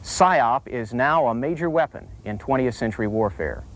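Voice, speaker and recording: American male, mid-range voice, formal and measured presenter for scripted military instructional narration. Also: medium pitch, lively intonation, low-quality recording. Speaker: military training film presenter.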